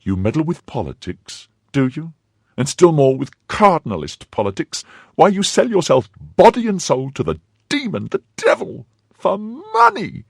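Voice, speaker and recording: A man's voice: fairly low pitch, big pitch swings, moderate pace, breathy edge, no room sound, clean studio sound, pitched above the performer's own voice, exaggerated voice. A man doing an indignant young wife. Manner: scornful, pleading and scolding by turns. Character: young woman, indignant, fiercely loyal wife.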